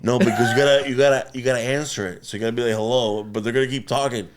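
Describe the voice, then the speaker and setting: A man's voice: medium pitch, rapid-fire. A man chatting casually and jokingly in a group, using slang and swearing and acting out bits as he talks. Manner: animated, acts out phone bits in put-on voices; profane, slangy.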